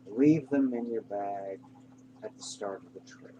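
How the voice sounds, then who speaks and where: Slow, reassuring man guiding a meditation. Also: on the low side, warm tone, lo-fi sound. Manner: unhurried, reassuring, pausing between short phrases. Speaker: guided meditation narrator. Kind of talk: guided meditation, soothing scripted narration. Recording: clear background hum and noise.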